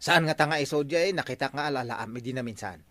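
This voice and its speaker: A man with an Ilocano accent playing an excitable, bossy village captain in a comic radio drama. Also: medium pitch, fast talker, lightly acted. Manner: animated, exclaiming, bossy. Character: excitable middle-aged male village captain. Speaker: barangay captain.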